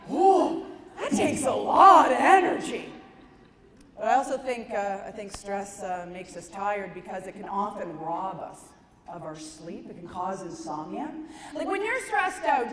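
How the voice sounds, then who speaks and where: High-voiced woman comedian on stage. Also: crowd noise. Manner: animated, emphatic, conversational delivery with dramatic pauses. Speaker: comedian, keynote speaker. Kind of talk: stand-up comedy talk to an audience. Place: large conference ballroom stage. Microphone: handheld microphone. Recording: echoey hall PA, some noise.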